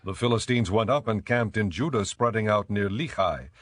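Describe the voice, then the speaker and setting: Low-voiced solemn male scripture narrator. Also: no echo. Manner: measured, solemn. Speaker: audiobook narrator.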